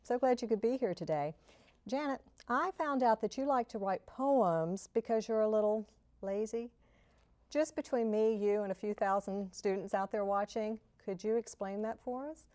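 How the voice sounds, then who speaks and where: A woman hosting an interview, speaking warmly and encouragingly to her guest. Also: mid-range voice, moderate pace, no echo. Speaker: show host, interviewer.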